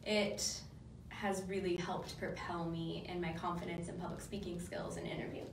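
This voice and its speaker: A young woman from Nebraska, telling chatty, animated stories in casual conversation. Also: lowish voice, moderate pace, noisy background. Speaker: pageant titleholder. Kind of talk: casual chat. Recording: echoey room, mic at a distance.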